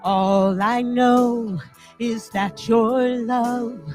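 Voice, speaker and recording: High-voiced woman singing slowly over music. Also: fairly even intonation, darkish tone. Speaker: singer. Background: musical accompaniment.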